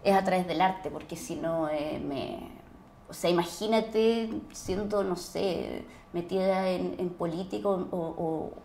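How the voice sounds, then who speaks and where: A Chilean woman talking as a podcast guest, reflective and casual, with searching, halting phrasing. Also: fairly low pitch, vocal fry, darkish tone. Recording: roomy echo, faint background noise.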